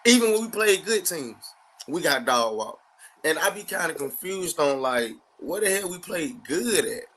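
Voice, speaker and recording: A man's voice: on the high side, sing-song, normal speed, a bit bright, rough recording. Blunt slangy man in his thirties. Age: 30s. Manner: blunt, slangy, repeats himself. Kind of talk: casual sports chat.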